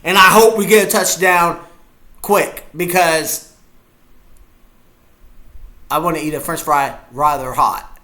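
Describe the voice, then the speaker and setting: A man's voice: on the high side, fairly even intonation, takes it slowly, long pauses, bright, forceful tone. A man chatting goofily to viewers while he reacts to a football game live. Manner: chatty, goofy, talks to viewers. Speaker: streamer watching a football game. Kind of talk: casual live reaction chat.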